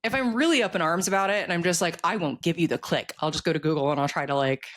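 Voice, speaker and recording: The speaker talks in a casual, conversational interview style at a mid-range pitch. The delivery is animated and rambles through explanations, with relaxed swearing dropped in. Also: fast talker, no echo.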